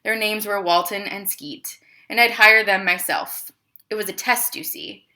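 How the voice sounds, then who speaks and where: A clever, slightly sheepish emperor read by a woman tells his own storybook tale. The voice is bright and mid-pitched, confiding and expressive, full of rhetorical questions and self-justification. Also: unhurried. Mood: scheming, dramatic. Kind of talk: children's storybook read-aloud.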